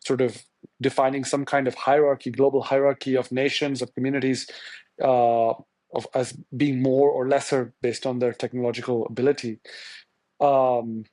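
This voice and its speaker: A man's voice: mid-range voice, level tone, moderate pace, a little mellow, no echo. A man from India whose first language is Tamil, a journalist answering interview questions in a thoughtful, searching way with many restarts. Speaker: journalist guest.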